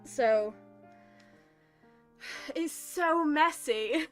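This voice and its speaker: A woman giving casual, expressive gameplay commentary as a psychologist streamer. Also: high voice, drawn-out words. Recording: game music behind. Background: game music.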